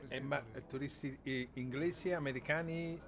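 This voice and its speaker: A man from Naples, a café owner answering in halting phrases. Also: mid-range voice, steady tone, crowd noise. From Naples, Italy. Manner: halting, hesitant listing. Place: café in Rome. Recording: location recording with clear background noise.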